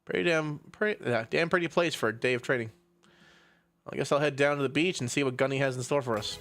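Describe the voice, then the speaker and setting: A man's voice: medium pitch, fast, fairly bright tone, lightly acted. Man voicing easygoing, weary young soldier. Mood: relaxed. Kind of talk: acting out visual novel dialogue.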